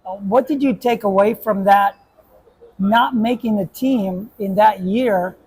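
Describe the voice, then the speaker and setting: A man's voice: sits high, measured pace, a little mellow, slightly rough. A man, a basketball coach, hosting a casual chat and asking questions with a joking manner and many restarts.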